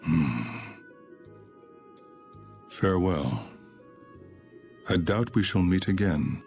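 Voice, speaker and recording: A man's voice: low voice, lively intonation, drawn-out words, vocal fry, a little mellow, faint music behind, exaggerated voice. An actor playing an aloof, stern swordsman who guards the natural world, speaking formally and solemnly in a video game cutscene. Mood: cold, solemn.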